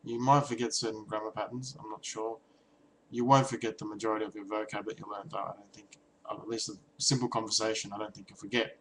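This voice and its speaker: Hesitant low-voiced man musing on camera. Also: flat delivery, quick pace, a little hiss or hum, low-quality recording. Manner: hesitant, many restarts and ums, reflective. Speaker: vlogger and language learner. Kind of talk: casual talking-head vlog.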